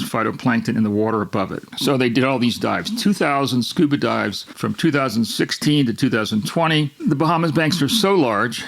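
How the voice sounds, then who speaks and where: Man, gravelly-edged, mid-pitched, host walking through a science story, loose and rambling. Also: fairly bright tone. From Miami, Florida. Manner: rambling, with restarts and repetitions. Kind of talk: unscripted explanatory monologue.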